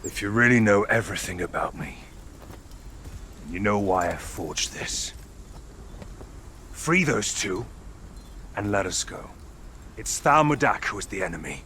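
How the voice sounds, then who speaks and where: An actor playing a gruff, defiant heroic warrior in a video game, terse and earnest. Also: male voice, lowish voice, expressive, measured pace, long pauses, bright, forceful tone, a little hiss or hum. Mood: stern, pleading. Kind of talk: video game cutscene acting.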